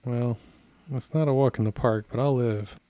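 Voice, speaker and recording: A man acts a stoic young fugitive in an audio drama. He is understated, wry and soothing, with a mid-pitched voice in a dry, close recording. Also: fairly even intonation, normal speed, warm tone, no echo, close to an everyday voice. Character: easygoing, stoic injured young fugitive, reassuring.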